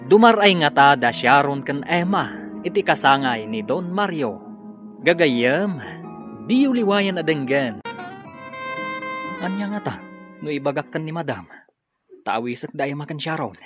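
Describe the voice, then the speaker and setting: A man's voice: a little high, big pitch swings, a little slow, long pauses. A man acting a friendly, hopeful worker in a radio drama. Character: friendly, hopeful male worker chatting with his mate. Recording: muffled radio drama with music behind. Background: music.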